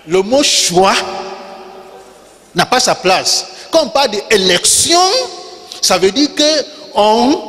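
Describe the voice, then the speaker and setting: Preaching man in a reverberant church. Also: high-pitched. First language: not French. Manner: call-and-response preaching, repeats key words, rises to shouts. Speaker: pastor. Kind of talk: sermon. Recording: long reverb of a church hall.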